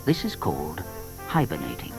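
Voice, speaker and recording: Animated male storybook narrator. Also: low voice, normal speed, vocal fry, over music. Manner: expressive, dramatic storyteller. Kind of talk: scripted children's story narration.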